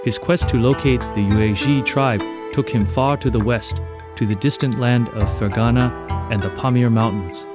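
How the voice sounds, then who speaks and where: A man's voice: mid-range voice, moderate pace. A man reading a formal, stately mission briefing for a strategy game, with music playing behind him. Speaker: game narrator. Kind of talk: scripted mission briefing narration.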